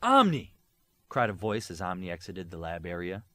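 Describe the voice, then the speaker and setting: A man's voice: sits low, steady tone, brisk, no room sound. A man narrating a work of fiction as an audiobook reader, in scripted storytelling style.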